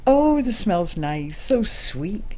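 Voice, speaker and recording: A woman playing a courteous, playful ambassador in a science fiction audio drama, polite and gently teasing. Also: medium pitch, very animated, slow, slight background noise, rough recording. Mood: playful, friendly.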